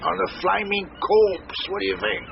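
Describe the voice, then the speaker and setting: An actor playing a grumpy, self-pitying middle-aged British chief inspector, sarcastic and blunt, in a radio drama. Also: male voice, high-pitched, big pitch swings, normal speed, vocal fry, heavily acted. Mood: sarcastic, impatient. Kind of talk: British radio comedy-mystery acting. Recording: muffled, noisy radio drama recording.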